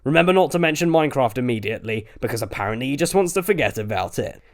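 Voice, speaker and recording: A man's voice: medium pitch, animated, racing, lightly acted. A man voicing a bossy, impatient British teenage boy with a blunt way of talking. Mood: brisk, firm.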